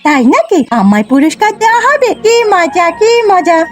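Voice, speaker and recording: A woman voicing an excitable, wide-eyed young village girl in a cartoon. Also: very high voice, moderate pace, soft background music, full character voice. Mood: excited, curious. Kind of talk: Bengali cartoon voice acting.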